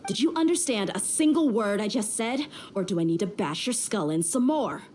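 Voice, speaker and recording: A woman's voice: medium pitch, lively intonation, normal speed, darkish tone, a little hiss or hum, lightly acted. A woman acting a tough, impatient young desert mercenary in a video game, with a blunt, commanding manner. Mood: exasperated, forceful.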